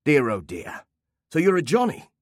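A man's voice: on the high side, huge pitch swings, moderate pace, dry recording, clean studio sound, voice pushed up. A man voicing a sly, worldly old male memory-keeper who speaks with a broad Australian accent and a teasing, jaunty lilt.

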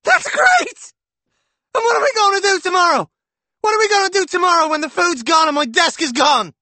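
A man voicing an exasperated husband who shouts in a sarcastic fury. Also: very high voice, expressive, fairly quick, no echo, voice pushed up, exaggerated voice. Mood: furious. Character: furious harried husband, shouting.

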